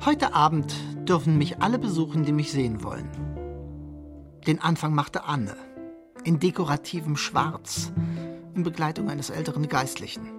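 A man's voice: a little high, animated, moderate pace. An actor playing a condemned husband who narrates his downfall in a detached, ironic, literary way for a radio drama. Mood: wry, resigned. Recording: music bed behind the voice.

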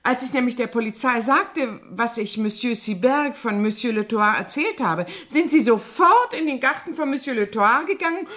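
Gossipy, animated, high-voiced cleaning woman. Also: moderate pace, hardly any pauses. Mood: proud, matter-of-fact. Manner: chatty, guileless-sounding, dramatic storytelling. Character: gossipy, feignedly naive French cleaning woman, chatty and self-satisfied. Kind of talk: 1970s German radio play acting.

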